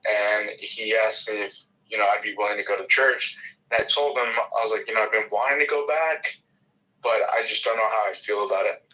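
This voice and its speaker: Man, faint mexican accent, very bright tone, stammering restarts, video call, slight radio sound. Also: on the low side, fairly quick, rough recording. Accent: faint Mexican Spanish. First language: Spanish. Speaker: video chat participant. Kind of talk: casual video chat.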